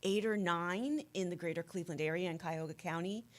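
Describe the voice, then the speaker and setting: A woman's voice: lowish voice, fairly quick. A middle-aged American woman giving conversational interview answers as a protest organizer, often searching for her words.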